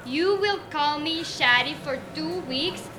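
A woman's voice: high voice, slow, a crowd in the background. An actress playing a young woman who puts on a Hungarian accent and broken English in a live radio play. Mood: insistent. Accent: put-on Hungarian. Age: young adult. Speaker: daughter. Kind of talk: live stage radio drama.

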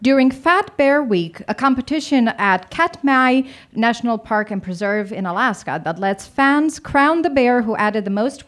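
A woman reading a scripted news story. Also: medium pitch. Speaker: news reader. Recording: faint background noise.

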